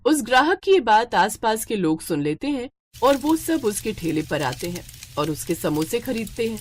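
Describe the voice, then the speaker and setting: A woman's voice: fairly high pitch, big pitch swings, moderate pace. A woman reading scripted narration for a moral story as its narrator. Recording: heavy noise behind the voice.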